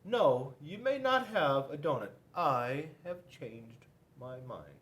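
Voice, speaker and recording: A man voicing a polite but fickle panda in a storybook, making slow, deliberate offers and refusals. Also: fairly high pitch, animated, a bit bright. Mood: pondering, firm.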